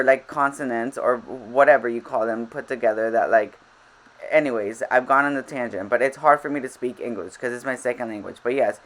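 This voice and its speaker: A woman's voice: extremely low pitch, rough recording. A woman whose first language is Spanish, chatting over gameplay in a casual voiceover. She rambles and interrupts herself, a little frazzled. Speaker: game vlogger.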